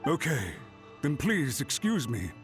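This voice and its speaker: A man's voice: medium pitch, very animated, slow, soft background music. A man voicing a cowed, deferential servant in a video game, hesitant, meek and formal. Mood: cowed, apologetic. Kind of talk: scripted video game voice acting.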